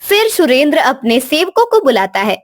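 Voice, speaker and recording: A woman's voice: sits high, big pitch swings, normal speed, no echo, studio quality. A woman reading scripted narration for a moral story as the storyteller.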